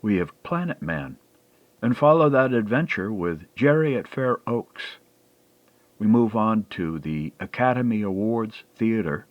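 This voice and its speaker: Lowish-voiced male radio host, very expressive. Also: unhurried, no room sound, dull audio. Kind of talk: announcing an old-time radio program.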